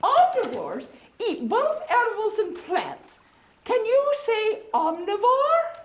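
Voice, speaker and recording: A woman plays a prim, kindly beaver lady hosting a puppet-show tea party, her voice sitting extremely high and swooping widely. She speaks slowly and sweetly, fluttering every line with gentle "oh"s. The sound is echoey, with a faint hiss underneath. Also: wildly swooping pitch, exaggerated voice. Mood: teacherly. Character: genteel female beaver hostess, kindly and proper. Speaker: puppet show hostess and teacher. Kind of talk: children's educational puppet show. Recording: echoey room with faint noise.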